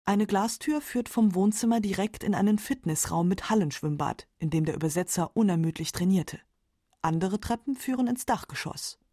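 A woman narrating a scripted radio documentary in a measured, matter-of-fact way. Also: mid-range voice, animated, mellow, no room sound, clean studio sound.

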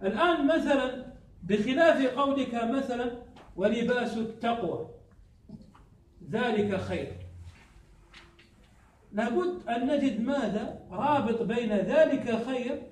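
A man's voice: very high-pitched, a little slow, pauses often, a little hiss or hum. A man teaching grammar to a class, often stopping to quiz his students with prompt questions they answer aloud. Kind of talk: classroom lesson. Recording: echoey room, distant mic.

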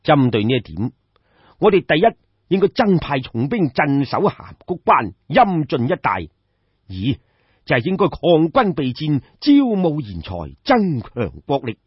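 A man with a Cantonese accent voicing a composed, shrewd court adviser of ancient China, measured and deferential, in an audio story. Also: fairly high pitch, sing-song, slightly rough, dry recording, dull audio, full character voice. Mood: confident, persuasive.

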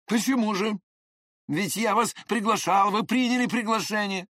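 A man voicing a spiteful, pushy young swindler with an irritable, scornful, excitable manner in an audiobook. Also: high-pitched, moderate pace, no room sound, clean studio sound, pitched above the performer's own voice. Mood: offended. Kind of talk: dramatized audiobook character voice.

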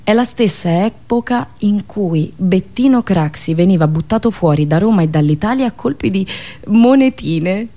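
A woman's voice: medium pitch, animated, warm tone. Theatrical woman telling satirical stories. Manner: dramatic, ironic delivery with pauses and drawn-out words. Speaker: radio host and monologist. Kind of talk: theatrical satirical storytelling monologue.

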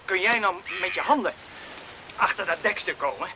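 An actor playing a hearty, easily amazed beachcomber who exclaims a lot, in a radio drama. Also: male voice, high voice, expressive, moderate pace. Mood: curious, amazed. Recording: noisy old radio play recording.

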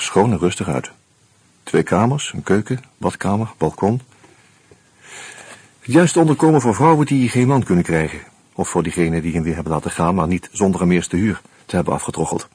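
Husky, low-voiced uneasy man. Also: animated, normal speed, pauses often, a little mellow, dry recording, full character voice. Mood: wry, musing. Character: uneasy male murder suspect narrating his own investigation. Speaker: actor and first-person narrator. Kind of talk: Dutch radio play, reflective narration and dialogue.

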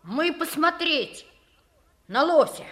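An actress playing a bold, indignant schoolboy of about twelve in an old Soviet radio play, speaking in emphatic exclamations. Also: female voice, sits high, lively intonation, slow pace, bright, forceful tone, exaggerated voice. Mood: awed. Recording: old radio drama with music.

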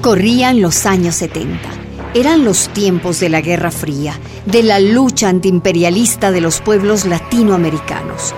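A woman's voice: lowish voice, normal speed. A woman narrator reading scripted radio drama narration. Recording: music behind voice.

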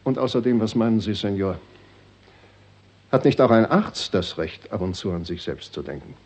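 A man's voice: on the low side, expressive, moderate pace, darkish tone. An actor playing a suave, cynical doctor and father in a radio play, sardonic and coldly self-serving. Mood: scornful. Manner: sardonic, sweeping between smooth and scornful. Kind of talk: 1960s German radio play acting.

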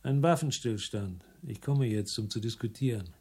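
An actor playing a tough, defiant investigator in a radio crime drama, curt, commanding and unflinching. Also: male voice, on the low side, moderate pace, darkish tone, husky. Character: tough, defiant male investigator confronting gangsters.

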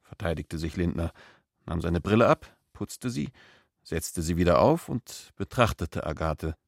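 A man narrating a literary radio play in a measured, deliberate manner. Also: deep voice, animated, creaky, no room sound, studio quality.

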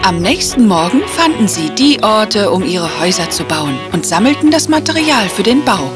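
A woman's voice: medium pitch, big pitch swings, moderate pace, music in the background. A woman storyteller reading a scripted fairy tale for children. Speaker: storyteller, narrator.

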